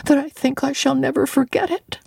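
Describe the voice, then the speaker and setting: A woman's voice: high voice, steady tone, a little fast, vocal fry, darkish tone, dry recording, studio quality, pitched above the performer's own voice. A woman voicing a miserable, defiant orphan girl of about nine in a dramatic book reading, blunt and pleading. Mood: frightened, wounded.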